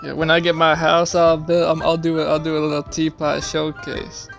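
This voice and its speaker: A man's voice: a little high, completely flat delivery, moderate pace, creaky, over music. A young man giving casual gameplay commentary in a slangy, offhand way with some swearing. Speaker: gamer playing on camera or stream.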